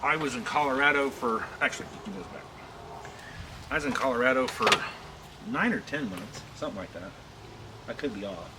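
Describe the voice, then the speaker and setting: A man's voice: mid-range voice, a little fast, long pauses. A man from Oklahoma bantering and cursing casually while working on a car engine in a garage. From Oklahoma, USA. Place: home garage. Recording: noisy garage.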